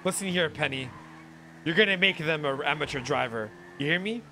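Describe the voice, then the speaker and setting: A man's voice: fairly high pitch, fairly quick, sharp tone, a little hiss or hum. A man in casual, teasing and pushy phone banter in a role-play game, cursing freely. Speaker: streamer playing a character.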